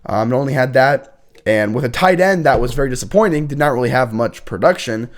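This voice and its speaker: A young man hosts a casual college football show while sick, his voice hoarse and worn thin. He rambles, restarts sentences and stops to clear his throat, keeping his pitch in an ordinary middle range. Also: animated, fairly quick. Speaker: host. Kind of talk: casual sports commentary.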